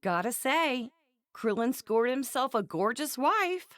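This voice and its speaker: An actress playing a plainspoken, teasing young woman in an English-dubbed anime game, with a casual, expressive manner. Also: fairly high pitch, slow, dry recording, clean studio sound, heavily acted. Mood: casual, musing.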